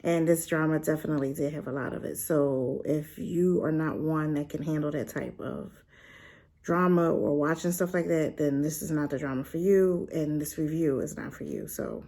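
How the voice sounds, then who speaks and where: A woman's voice: low-pitched, moderate pace. A woman casually reviewing a drama in a chatty, rambling way, full of fillers and asides. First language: not Korean. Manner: animated, with rhetorical questions.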